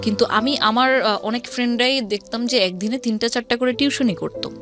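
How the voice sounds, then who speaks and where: Higher-voiced Bangladeshi woman over music. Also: brisk. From Old Dhaka, Bangladesh. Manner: mixes English words into speech. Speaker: interview guest telling life story. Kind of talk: conversational personal storytelling. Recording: music playing behind the voice. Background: background music.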